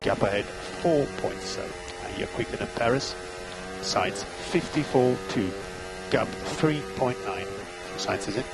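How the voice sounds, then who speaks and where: A male race engineer reads out gaps and lap times in short, clipped, steady calls. His voice comes through a team radio link, with loud engine noise behind it. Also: medium pitch, measured pace.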